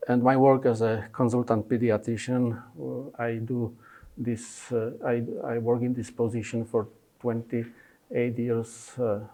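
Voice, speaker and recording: A man in his fifties whose first language is Polish, presenting his book with many pauses, fillers and restarts in non-native phrasing. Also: mid-range voice, mellow. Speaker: consultant pediatrician.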